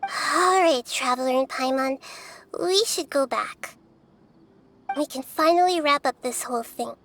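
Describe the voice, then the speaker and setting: A woman voicing a small, wise, gentle goddess who speaks in a measured, formal way in an anime game. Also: high-pitched, a little hiss or hum. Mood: calm, firm. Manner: measured, soft-spoken, formal. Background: background music.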